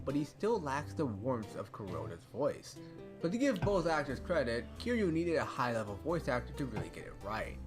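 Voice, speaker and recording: A man reading a scripted video essay in a measured, analytical way. His pitch moves in a lively way, and guitar music plays clearly behind his voice. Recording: narration played over music.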